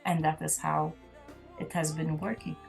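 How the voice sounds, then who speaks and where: A woman's voice: low voice, level tone, moderate pace, creaky, soft background music. A woman, a gospel singer speaking as an interview guest in an earnest, reflective way, often restarting her sentences. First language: Maltese. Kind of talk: casual interview chat.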